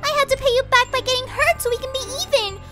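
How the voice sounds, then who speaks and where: A woman voicing a frantic, over-apologetic, hyperactive female pony in an animated radio play, shrill and wailing. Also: quick pace, faint music behind.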